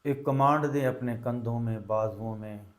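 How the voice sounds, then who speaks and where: A man leading a guided meditation as a teacher, giving calming, deliberate instructions in short phrases with long pauses. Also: medium pitch.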